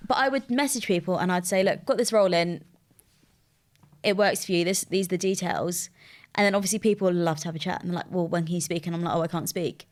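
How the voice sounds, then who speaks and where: A young woman from the UK with a fairly low voice chats easily on a podcast, leaning on "like" and "you know" as she thinks aloud. Her talk is loose and friendly, the way people talk in a relaxed interview. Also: quick pace. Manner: chatty, many "like" and "you know" fillers. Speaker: recruitment firm co-founder, podcast guest. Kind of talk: casual interview chat.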